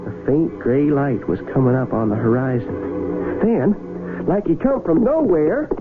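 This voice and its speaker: An actor playing a nervous, polite young Civil War soldier in a radio drama, with a creaky American voice and folksy, halting, stammering speech. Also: male voice, a little high, lively intonation. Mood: folksy, startled. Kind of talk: 1970s radio drama acting. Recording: narrow, tinny vintage radio broadcast, music behind.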